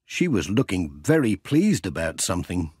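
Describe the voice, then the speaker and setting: Male children's audiobook narrator; lively intonation, slightly husky tone, close dry recording. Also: low voice, normal speed, no room sound. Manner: expressive storytelling.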